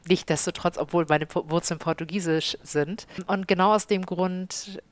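Woman in her 30s, Hamburg accent, chef, slightly low voice, eager and chatty in interview. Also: normal speed. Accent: Hamburg German. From Hamburg, Germany. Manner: lively, enthusiastic storyteller. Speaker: chef and restaurant owner. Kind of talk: casual interview answers.

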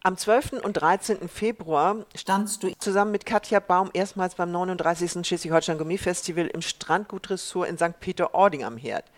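A podcast host reading prepared interview questions and offering warm compliments. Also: medium pitch, dry recording.

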